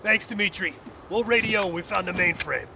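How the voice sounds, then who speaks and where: A man playing a gruff, wisecracking American soldier in a video game cutscene, with salty, terse military talk. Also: sits high, fairly quick. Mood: wry, businesslike. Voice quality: gravelly. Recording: loud gunfire and vehicle noise behind.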